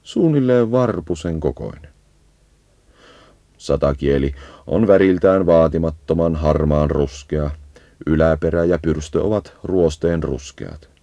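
A man reading scripted educational nature narration in a measured, explanatory way, as a guide to birds. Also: a bass voice, dark tone, no room sound.

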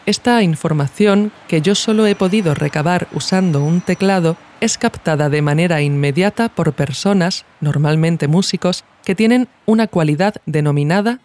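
A woman's voice: lowish voice, normal speed, darkish tone. A woman from narrating a scripted documentary podcast as its host.

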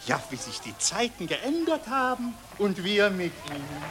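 An actor playing a gullible, easily roused Viennese street patriot in a 1940s radio satire. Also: male voice, high voice, sing-song, normal speed, noisy background. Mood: naive enthusiasm.

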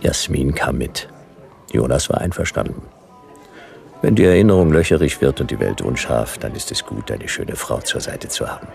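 An actor playing a world-weary detective, narrating a sci-fi radio play in dry, clipped noir fragments with a gravelly voice. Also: male voice, extremely low pitch, animated, moderate pace, a little mellow, heavily acted. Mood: brooding, laconic. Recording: music behind the voice.

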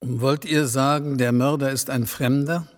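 A man's voice: medium pitch, fairly even intonation, measured pace, lightly acted. An actor playing a sober, sceptical city official in a German radio drama, formally weighing his words. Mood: sceptical, resigned. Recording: reverberant studio radio play sound.

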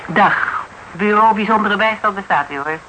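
A noisy radio play recording of a man playing a dogged private investigator. The voice is fairly high for a man, clipped and dry, with a wry, questioning manner. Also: normal speed, natural voice. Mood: pressing, sardonic. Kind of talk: Dutch radio drama acting.

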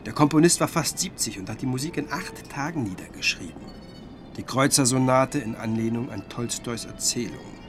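An actor playing an earnest, curious younger music scholar in a radio drama, speaking politely and thoughtfully. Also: male voice, mid-range voice, moderate pace, close to an everyday voice. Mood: earnest, keen. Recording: music behind the voice.

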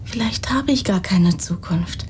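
A woman's voice: lively intonation, a little slow, darkish tone, lightly acted. An actress playing a timid, naive young woman full of doubt in a radio drama, speaking meekly and briefly. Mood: doubtful, meek. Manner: meek, hesitant, terse. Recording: rumbling noise behind.

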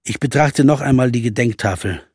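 A man's voice: medium pitch, fairly quick, a little mellow, slight background noise. A man playing a dogged jazz pianist. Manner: earnest, sometimes angry. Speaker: narrator and lead. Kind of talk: radio drama acting. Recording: music bed behind voice.

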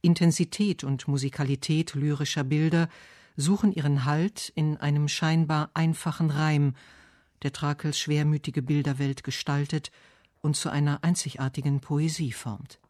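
A man reading literary narration and verse for a radio essay with measured, deliberate phrasing. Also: fairly high pitch, fairly even intonation, a little mellow, no echo, studio quality.

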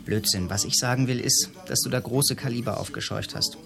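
A man's voice: medium pitch, a little fast, creaky, music in the background, natural voice. A man playing an earnest, protective friend in dialogue in a German radio drama. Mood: earnest, urging. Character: earnest, protective male friend offering help.

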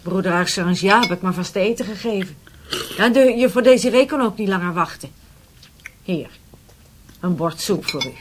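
An actress playing a fussy, protective, scolding housekeeper to a priest in a Dutch radio drama, bustling and disapproving. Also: female voice, medium pitch, animated, normal speed, pauses often, a little hiss or hum, natural voice. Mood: fussing, cross.

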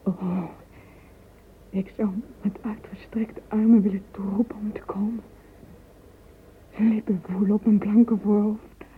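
An actress playing a dreamy, enraptured young romantic woman in a radio play, in a breathy, half-whispered, drawn-out voice. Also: medium pitch, little pitch movement, close to an everyday voice. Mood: rapturous. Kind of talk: literary radio play acting, interior monologue. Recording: tinny, narrowband old radio play tape, hissy.

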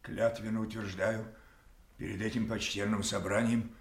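A man's voice: lowish voice, little pitch movement, moderate pace, reverberant, natural voice. An actor playing a mad old king, betrayed and imperious, in a theatrical radio play. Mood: solemn, deranged. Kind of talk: theatrical audio drama acting.

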